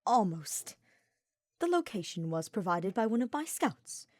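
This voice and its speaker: A woman's voice: medium pitch, very animated, dry recording, clean studio sound. Woman playing a stiff, uneasy formal mage. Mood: awkward, guarded. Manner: stiff formal diction, halting. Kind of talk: dramatised fiction reading.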